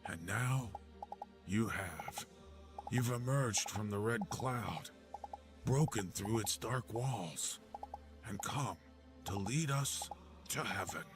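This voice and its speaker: A man voicing a fervent male cult devotee who speaks in a hushed, half-whispered way. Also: lowish voice, expressive, slow pace, pauses often, music in the background. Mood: reverent.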